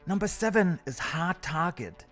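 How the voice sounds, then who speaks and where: Guy doing scripted top ten video narration, voice on the high side, bit of music behind him. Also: lively intonation, a little slow, fairly bright tone. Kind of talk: scripted countdown review narration. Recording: music under the voice.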